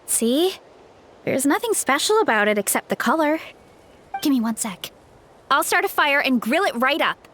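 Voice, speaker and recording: A woman's voice: high voice, sing-song, normal speed, pauses often, fairly bright tone. A woman voicing a cheerful, easygoing young tribeswoman in a video game, laughing easily as she talks. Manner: upbeat, breezy, laughs easily. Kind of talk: game voice acting.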